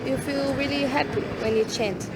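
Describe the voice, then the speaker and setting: A woman's voice: sits high, fairly even intonation, normal speed, over a noisy crowd. A young Brazilian woman speaking earnest, simple English with a Brazilian Portuguese accent, Portuguese being her first language, in a casual street chat. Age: young adult. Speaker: Hare Krishna devotee. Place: busy city street outdoors. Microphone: hidden recorder. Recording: loud street noise, secret recording. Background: loud traffic.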